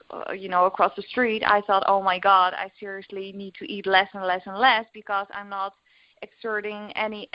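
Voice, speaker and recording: A woman's voice: medium pitch, dry recording. A young woman with a Dutch accent, a podcast guest talking openly with many fillers and restarts. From Netherlands. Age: young adult. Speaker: guest, recovery coach. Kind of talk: podcast interview. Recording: dull lo-fi audio.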